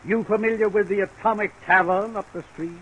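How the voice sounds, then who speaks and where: Droll, rambling aging fellow. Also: male voice, high-pitched, fairly even intonation, brisk, a bit bright. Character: droll, forgetful aging man, rambling and teasing. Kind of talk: 1940s radio character acting. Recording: faintly noisy vintage radio recording.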